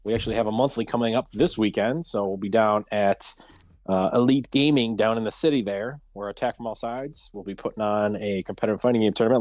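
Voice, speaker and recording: A man's voice: mid-range voice, a little mellow, dry recording. A man hosting a casual livestreamed round table talk show.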